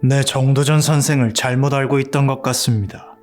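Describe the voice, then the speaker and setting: An actor playing an ambitious young prince, intense and bitter, in an audio drama. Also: male voice, medium pitch, fairly even intonation, fairly quick, faint music behind, close to an everyday voice. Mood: cold. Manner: intense, pleading then defiant.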